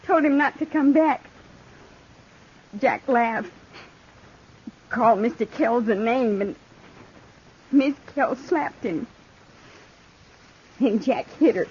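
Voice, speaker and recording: Halting, distraught wounded frontier girl, high-voiced. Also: a little mellow. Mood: grieving, bitter. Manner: halting, broken phrases. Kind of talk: 1950s radio western acting. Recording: muffled, hissy 1950s radio recording.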